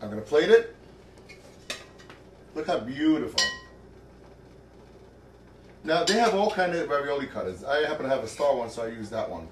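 A man's voice: medium pitch, lively intonation, normal speed, pauses often, background noise. A middle-aged man hosting a cooking show in a home kitchen, chatty and joking as he demonstrates a recipe.

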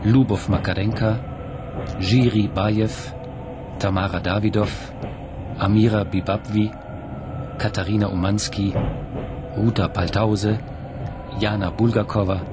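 A man's voice: lowish voice, fairly even intonation, unhurried, pauses often. A man reading out radio drama credits as a formal announcer, listing items evenly. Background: loud music.

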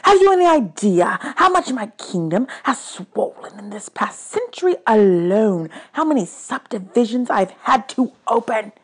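A woman acts out an angry male god of the dead from a storybook. He is imperious and aggrieved, ranting at mortals with heavy, drawn-out emphasis on his words. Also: on the high side, sing-song, slightly rough, voice pushed up. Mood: exasperated ranting.

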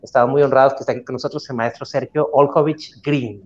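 A man's voice: mid-range voice, fairly even intonation, fast, a little mellow, slight background noise. Middle-aged Mexican man, dull lo-fi sound. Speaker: talk show co-host. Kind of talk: live interview introduction. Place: indoor room at home, video call. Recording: dull lo-fi video call audio.

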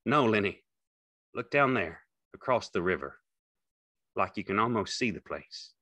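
Casting: male reader as burdened, tender working man in an audiobook; folksy, low register, dry studio sound. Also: expressive, unhurried, bright, forceful tone, no echo, exaggerated voice. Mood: reassuring, tender. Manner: plain, folksy, reassuring. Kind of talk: audiobook character acting.

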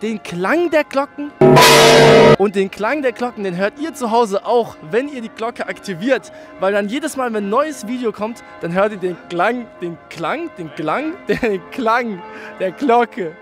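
A man's voice: high-pitched, lively intonation, measured pace, loud music behind the voice. A young man in his twenties hosting a joking street interview, holding a mic outdoors on a city shopping street, teasing people he stops. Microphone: handheld mic.

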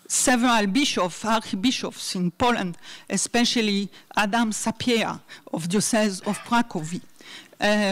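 A middle-aged woman giving a conference talk from notes at a university hall, hesitant with many fillers, speaking into a podium mic. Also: medium pitch. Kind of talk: academic talk read from notes. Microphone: desk mic on a stand.

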